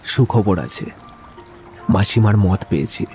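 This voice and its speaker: An actor playing an eloquent, playful young gentleman in an audio drama, speaking in wordy, theatrical turns. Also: lowish voice, expressive, measured pace. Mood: tender, playful. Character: Amit, witty, romantic, eloquent young gentleman. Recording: music behind the voice. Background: music.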